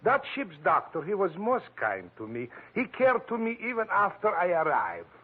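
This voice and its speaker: Plaintive big Russian man, husky. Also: sits high, wildly swooping pitch, normal speed, exaggerated voice. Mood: plaintive, wronged. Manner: broken English, plaintive, laboured. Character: huge, heavy foreign man in a body cast, complaining. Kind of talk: 1940s radio detective drama acting. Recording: muffled, narrow-band 1940s radio recording.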